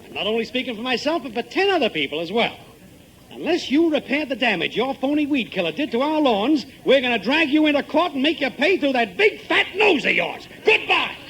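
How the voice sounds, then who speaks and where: A man's voice: very high voice, very animated, a little fast, fairly bright tone, heavily acted. A man playing a stern, indignant, threatening neighbor in a 1950s radio comedy. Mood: irate. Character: irate, threatening male neighbor demanding repairs. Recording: vintage radio recording with faint noise.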